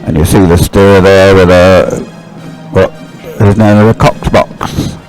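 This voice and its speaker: A male race commentator with a fairly low voice speaks live over faint music. His delivery is descriptive and somewhat halting, with corrections made along the way. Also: fairly even intonation, a little mellow, rough recording.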